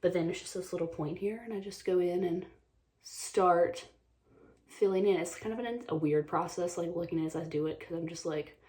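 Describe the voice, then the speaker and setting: A woman's voice: lowish voice, normal speed, dark tone. A woman in her twenties, a bit sick and losing her voice, chatting casually through a makeup routine with lots of "like" and "um.". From North Carolina, US. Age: 20s. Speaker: beauty vlogger. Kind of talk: casual get-ready-with-me chat.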